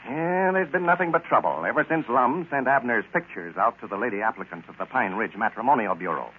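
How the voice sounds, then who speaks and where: American man, old-time radio announcer, folksy salesmanship, medium pitch, very varied intonation, scratchy narrow-band recording. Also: animated, brisk. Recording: scratchy, tinny 1930s radio broadcast.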